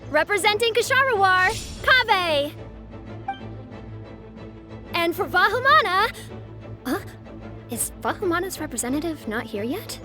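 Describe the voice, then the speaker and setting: A woman's voice: extremely high pitch, sing-song, a little slow, long pauses, music in the background, exaggerated voice. A woman voicing an enthusiastic, formal championship announcer in a video game, polished and ceremonious. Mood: rousing. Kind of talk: video game character acting.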